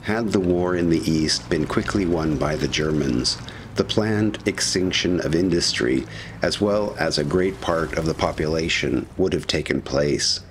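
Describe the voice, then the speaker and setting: A man with a low voice reads historical documentary narration. His delivery is measured and serious. Ambient vehicle noise runs behind him.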